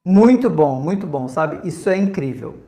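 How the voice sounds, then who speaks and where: A man's voice: a little high, expressive, moderate pace, mellow. A man from India speaking as a volunteer host, giving an informal, conversational talk to visitors and often checking in with his listeners.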